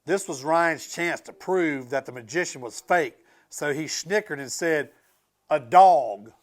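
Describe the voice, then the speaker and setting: A man reading a picture book aloud to children in an expressive, emphatic way, with casual asides to his young listeners. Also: on the high side, measured pace. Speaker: school transportation director reading aloud to students.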